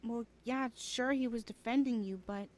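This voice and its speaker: A woman's voice: a little high, normal speed, lightly acted. A woman playing a sassy, blunt twenty-one-year-old best friend in a casual, slangy audio roleplay, often sighing. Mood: concerned, teasing. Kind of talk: conversational ASMR roleplay acting.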